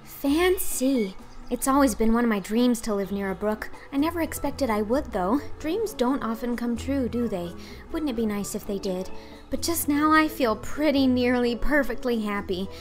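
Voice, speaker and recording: A talkative little orphan girl, played by a woman in a storybook. She is dreamy, earnest and theatrical, gushing over everything, with soft music behind her. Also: a little high, lively intonation, moderate pace, close to an everyday voice. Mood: eager, chatty. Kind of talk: audiobook character acting.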